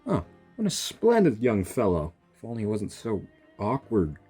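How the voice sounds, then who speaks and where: A game streamer with a mellow, dark voice reacts casually to the story. The commentary is sarcastic back-talk to the characters over faint music. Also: medium pitch, wildly swooping pitch, moderate pace.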